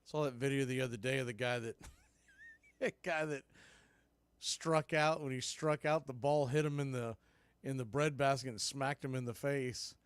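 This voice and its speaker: A man's voice: mid-range voice, steady tone, moderate pace, smooth, no echo. A man doing conversational, folksy live play-by-play baseball commentary.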